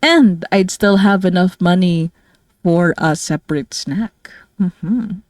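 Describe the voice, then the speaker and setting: A woman's voice: on the low side, slow, a little mellow, dry recording, studio quality. A woman from the Philippines chatting casually on a livestream, rambling and giggling with lots of fillers. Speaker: streamer and artist.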